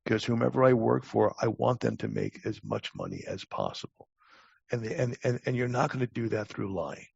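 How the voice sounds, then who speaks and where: Older man with heavy gravelly creak. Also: medium pitch, very animated, a little mellow, no room sound. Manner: emphatic, halting with repeated words, blunt. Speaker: podcast guest, talent acquisition advisor. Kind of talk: conversational interview answers. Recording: dull, muffled lo-fi audio.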